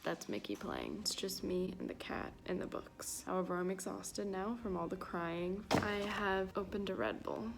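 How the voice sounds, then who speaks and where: A woman's voice: mid-range voice, big pitch swings, normal speed, creaky, few pauses, noisy background. A woman vlogger chatting casually and informally to her viewers, rambling and poking fun at herself. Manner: informal, self-mocking, rambling. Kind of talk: casual confessional vlog chat to viewers.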